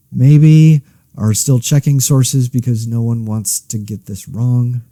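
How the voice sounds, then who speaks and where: Guy playing a wry worn-out podcaster guy in a zombie apocalypse, really soft dark mellow voice, halting, trails off into asides. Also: lowish voice, dry recording, studio quality, close to an everyday voice. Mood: mildly frustrated. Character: weary, anxious male podcaster reporting from a zombie outbreak. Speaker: podcast host. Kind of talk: casual monologue, scripted fiction podcast.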